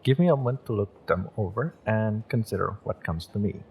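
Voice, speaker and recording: A man playing a gentle, encouraging magick shop owner and tarot reader in an intimate audio roleplay, speaking in a measured, mystical, reassuring way. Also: on the low side, a little hiss or hum, lightly acted. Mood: calm, guiding.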